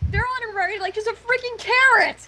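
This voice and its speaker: A woman's voice: very high voice, brisk, sharp tone. A woman co-reading fan fiction, reacting and chiming in with character lines. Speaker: guest co-reader.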